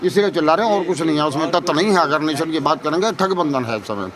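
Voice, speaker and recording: A man's voice: fairly high pitch, lively intonation, quick pace, a crowd in the background. A man giving opinionated street interview answers outdoors, emphatic and repetitive, full of rhetorical questions. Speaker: member of the public being interviewed. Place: outdoors in a city market. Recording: faint outdoor street noise.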